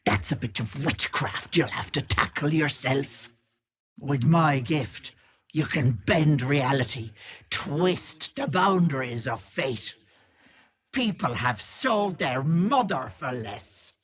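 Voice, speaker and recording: An actress voicing a wicked old hag with a raspy, cackling voice, taunting with sugary pet names. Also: female voice, very deep voice, animated, slow, exaggerated voice. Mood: menacing, teasing. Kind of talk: video game voice acting.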